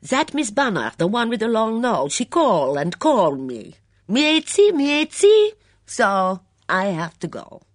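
Lady putting on a high loud fiery cook woman, broken english, keeps repeating words, husky edge, dry close sound. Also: sing-song, no room sound, exaggerated voice. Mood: aggrieved. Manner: emphatic, repeats words, halting grammar.

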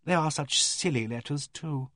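A man's voice: a little high, very animated, normal speed, slightly rough, no echo, studio quality, voice pushed up. A man voicing an eccentric, forthright vicar's wife in a storybook, with an abrupt, emphatic and earnest yet vague manner. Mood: earnest, absent. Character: eccentric, forthright, absent-minded vicar's wife of uncanny knowledge.

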